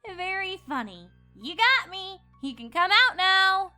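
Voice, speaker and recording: A woman's voice: very high voice, big pitch swings, slow, bright, forceful tone, soft background music, pitched above the performer's own voice, heavily acted. A woman voicing a frazzled, snarky young adult pony mare who is easily exasperated, for a cartoon story reading. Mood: irritated.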